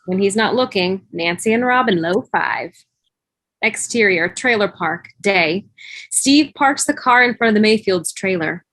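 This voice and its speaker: A woman's voice: mid-range voice, lively intonation, a little slow, fairly bright tone, no room sound, rough recording. Woman narrating screenplay directions, dry sound.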